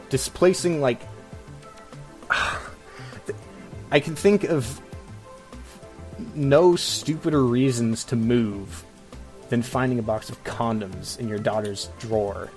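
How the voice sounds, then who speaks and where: A man hosting a casual podcast, ranting in a halting, sarcastic way. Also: medium pitch, a little mellow. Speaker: podcast host.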